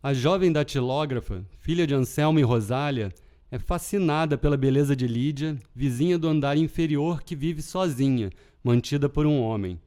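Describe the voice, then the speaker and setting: A man with a Carioca accent that leans toward European Portuguese, host of a literary podcast, giving measured scripted narration. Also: medium pitch, warm tone. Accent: Rio de Janeiro Portuguese with European Portuguese touches. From Brazil. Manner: measured, deliberate reading.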